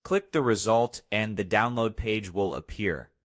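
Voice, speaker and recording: A man narrating a scripted step-by-step software tutorial as its host. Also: fairly low pitch, a little slow, no room sound, studio quality.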